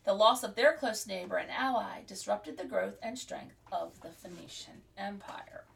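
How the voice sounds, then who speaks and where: A woman hosting a podcast, reading an article aloud with careful, deliberate pacing and frequent restarts, then signing off casually. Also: medium pitch, creaky, recorded from a distance, a little hiss or hum. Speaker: podcast host.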